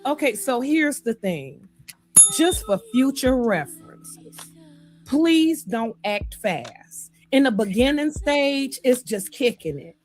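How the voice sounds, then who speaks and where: Woman, livestream panelist, higher pitch, matter-of-fact, admonishing, casual advice, faint music behind. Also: big pitch swings, slow pace, a little mellow. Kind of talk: casual advice in a group argument.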